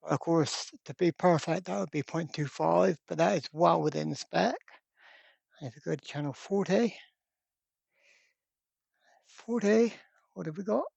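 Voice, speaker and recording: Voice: mid-range voice, unhurried, creaky, pauses often, darkish tone, husky, dry recording, lo-fi sound. A presenter giving a casual workbench repair demonstration, thinking aloud step by step in an informal way. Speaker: electronics repair hobbyist presenter.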